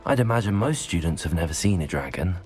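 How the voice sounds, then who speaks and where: A man's voice: deep voice, expressive, fast talker, a little mellow, soft background music. A man playing a polite, curious teenage wizard student in a video game. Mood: curious, composed. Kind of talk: scripted video game dialogue.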